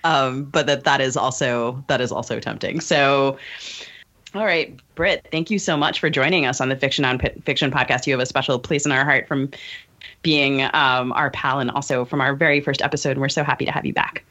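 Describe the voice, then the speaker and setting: A woman's voice: rumbling low, fairly even intonation, brisk, a bit bright, dull audio. A woman with an American accent hosting a conversational podcast, phrasing things thoughtfully and a little hesitantly. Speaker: podcast host.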